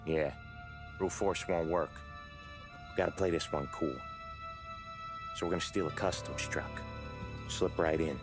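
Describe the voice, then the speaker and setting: An actor playing a dry, pragmatic American mobster with a hard-bitten manner in a video game drama. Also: male voice, very deep voice, steady tone, measured pace, long pauses, music in the background. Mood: dry, businesslike.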